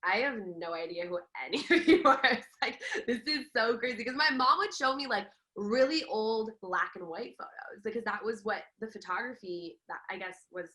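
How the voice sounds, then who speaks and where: Young woman, bright clear voice, from Los Angeles, casual podcast chat, filler-heavy and laughing. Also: a little high, moderate pace, hardly any pauses. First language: Farsi. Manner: chatty, laughs often, many fillers and restarts. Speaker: podcast guest.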